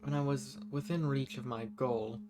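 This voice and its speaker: A man's voice: medium pitch, fairly even intonation, moderate pace, over music, close to an everyday voice. A man voicing a banished ancient villain in an audio roleplay, world-weary, menacing and flirtatious, musing theatrically in formal wording to the listener. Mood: teasing, grave. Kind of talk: scripted audio roleplay, intimate monologue to the listener.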